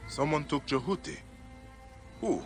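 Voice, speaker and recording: An actor playing a grave, driven warrior investigator of ancient Egypt in a video game, terse and solemn. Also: male voice, a little high, animated, unhurried, vocal fry, faint music behind. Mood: grave, probing. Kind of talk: dramatic video game cutscene acting.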